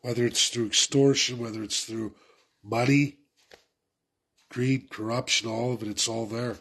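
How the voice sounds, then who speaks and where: A man's voice: mid-range voice, steady tone, a little slow, a bit bright. A man with a head cold, sounding congested, giving an urgent, repetitive political rant to his viewers. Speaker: political commentator. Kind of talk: impassioned political rant to camera.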